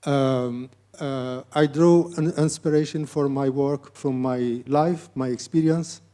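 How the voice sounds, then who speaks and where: A man, a multimedia artist on a panel, speaking hesitantly in halting non-native English. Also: mid-range voice, fairly even intonation, dark tone. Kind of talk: panel talk.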